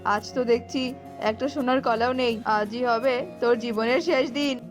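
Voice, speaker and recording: Highish-voiced stern king acted by a woman, imperious, hot-tempered, cartoon fairy tale, music behind. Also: fairly even intonation, a little slow, lightly acted. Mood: furious. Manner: imperious, threatening.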